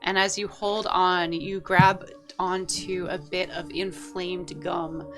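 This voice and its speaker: A woman running a tabletop game as game master, narrating with lively energy. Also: fairly low pitch, slow, faint music behind.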